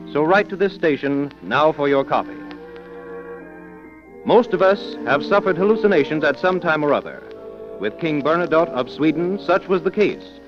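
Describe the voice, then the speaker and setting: American vintage radio announcer, muffled recording. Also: male voice, fairly high pitch. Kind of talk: 1930s-40s radio scripted announcing and sponsor pitch. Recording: dull old radio broadcast, music behind.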